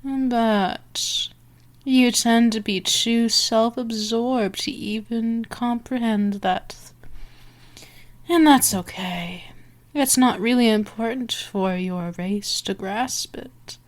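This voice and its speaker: A woman's voice: on the high side, takes it slowly. A woman playing a dominant female vampire for an ASMR roleplay, teasing, condescending and menacing, moving between murmurs and whispers. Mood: smug, sweetly controlling.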